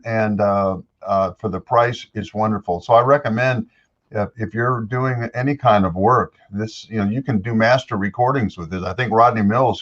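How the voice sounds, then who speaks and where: An older man with a deeper voice, a voice-over actor talking easily as an interview guest into a studio microphone. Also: muffled recording. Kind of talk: casual interview chat. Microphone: studio dynamic microphone.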